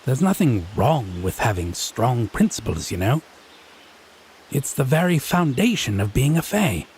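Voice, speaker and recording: Guy doing a snooty fae man for audio roleplay, unhurried and teasing, super expressive pitch, bit of background noise. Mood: haughty, triumphant. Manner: arch, pompous, drawling with theatrical emphasis. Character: haughty, teasing male Fae, theatrically prim and domineering. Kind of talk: scripted audio roleplay drama.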